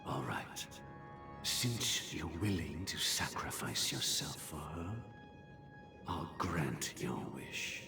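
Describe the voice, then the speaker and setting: Very deep, solemn old master. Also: male voice, lively intonation, exaggerated voice. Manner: solemn, measured, patronizing. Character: calm, grave old male master and father figure scheming for justice. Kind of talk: dramatic video game acting. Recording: game audio under loud music.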